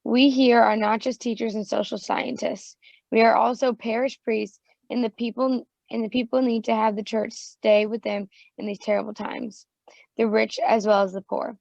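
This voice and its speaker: A woman reading a martyr's first-person testimony aloud in a measured, solemn way. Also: a little high, little pitch movement, vocal fry, a little mellow, no room sound, lo-fi sound.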